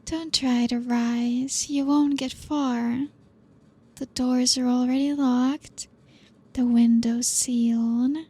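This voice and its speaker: Woman voicing a breathy, possessive vampire seductress. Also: high-pitched, little pitch movement, full character voice. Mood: teasing. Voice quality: breathy, whispery. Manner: slow, teasing, purring, with soft laughs. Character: possessive female vampire, ancient, hungry, seductive. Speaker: ASMR roleplay performer. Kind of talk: ASMR roleplay.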